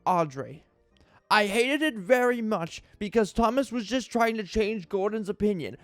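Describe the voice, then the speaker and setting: Man doing a high-pitched, melodramatic aggrieved fan reviewer. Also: animated, dry recording, faint music behind. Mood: grave disapproval. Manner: melodramatic, halting, heavy emphasis. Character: aggrieved, earnest cartoon fan solemnly reviewing episodes. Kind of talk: mock-dramatic reading of an online review.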